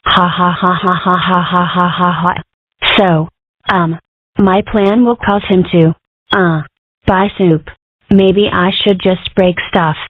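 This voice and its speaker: A woman voicing a mischievous, excitable little girl in a cartoon comic dub, boastful about her petty schemes. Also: on the low side, takes it slowly, dry recording. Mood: deflated. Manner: gleeful, theatrically boastful. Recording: dry, narrow-band phone-like sound.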